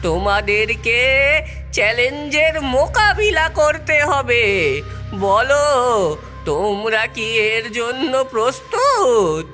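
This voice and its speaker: A woman's voice: on the high side, very animated, pushed and bright, faint music behind, heavily acted. A woman voicing a wise, ancient magical tree in a cartoon, solemnly and dramatically setting out riddles. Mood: solemn, mysterious. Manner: measured, solemn, dramatic swells. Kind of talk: children's cartoon acting.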